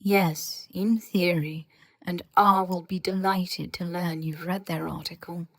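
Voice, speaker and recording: A woman playing an aloof, sarcastic researcher who lectures in a measured, formal and dry way in an audio story. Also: on the low side, steady tone, a bit bright, no echo, full character voice. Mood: measured, lecturing. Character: aloof, sarcastic, scholarly government researcher speaking through voice-disguising charm. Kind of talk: scripted audio fiction dialogue.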